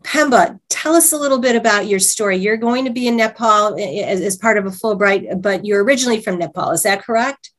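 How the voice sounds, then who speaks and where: A woman's voice: medium pitch, fairly quick, hardly any pauses. A woman hosting an interview, asking guests questions and encouraging them, often repeating words as she speaks. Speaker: host.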